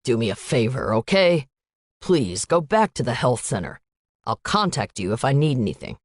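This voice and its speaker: A gruff, clipped male FBI agent with a low, businesslike voice, as a woman reads him. The sound is close and dry, with no room around it. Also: sing-song, moderate pace, no echo, exaggerated voice. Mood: curt.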